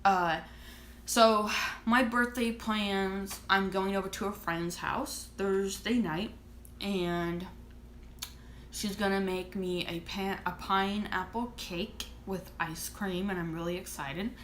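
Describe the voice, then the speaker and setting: A woman's voice: medium pitch, fairly even intonation, slow pace, fairly bright tone, reverberant, a little hiss or hum. A woman in her thirties chatting casually to camera as a vlogger, rambling and restarting her thoughts. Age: 30s. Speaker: vlogger and author.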